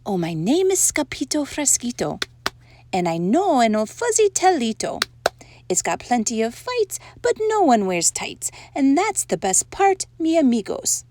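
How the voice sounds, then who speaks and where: A woman's voice: mid-range voice, wildly swooping pitch, slow pace, darkish tone, slight background noise, full character voice. A woman voicing a boastful, stubborn little kitten boy from a storybook, dramatic and defiant. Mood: singsong.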